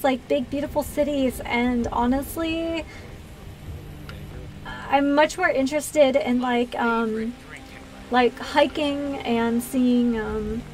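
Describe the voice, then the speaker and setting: Higher-voiced American woman chatting on stream. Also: a little hiss or hum, rough recording. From US East Coast, Pennsylvania. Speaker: video game streamer and host. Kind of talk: casual livestream chat with viewers.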